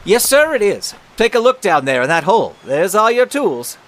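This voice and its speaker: Earnest American magician, excitable, very lively pitch, polite, theatrical, audio drama. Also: male voice, normal speed. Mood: excited, dramatic. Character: earnest, excitable male magician and younger brother. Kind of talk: comic audio drama acting.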